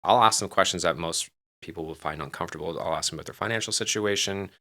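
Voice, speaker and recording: Fast-talking, bright-voiced man in his forties. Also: low-pitched. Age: 40s. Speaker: podcast guest, real estate team leader. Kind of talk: conversational interview.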